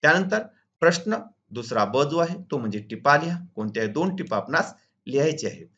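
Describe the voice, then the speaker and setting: A man's voice: on the high side, sing-song, brisk, a bit bright. A man from Maharashtra speaking as a teacher, formally and methodically walking students through exam questions and answers. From Maharashtra, India. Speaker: school teacher and video channel host. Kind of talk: instructional exam walkthrough, reading questions and answers. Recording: dull lo-fi recording.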